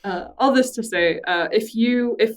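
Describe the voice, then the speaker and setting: A woman podcast host interviewing a guest in a library room, upbeat and quick to laugh. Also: on the high side, far from the mic. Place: public library room with a conference nearby.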